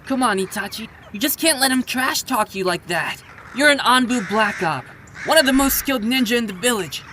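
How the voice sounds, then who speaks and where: A performer playing an eager, loyal little boy ninja in an audio drama, excitable and insistent. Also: mid-range voice, a little fast, slight background noise. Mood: insistent, admiring. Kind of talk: fan audio drama acting.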